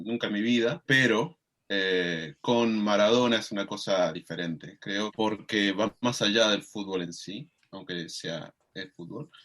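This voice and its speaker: A man from Mendoza, a podcast guest, thinking aloud hesitantly with many pauses and restarts. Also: lowish voice, fairly bright tone. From Mendoza, Argentina. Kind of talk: casual reflective conversation.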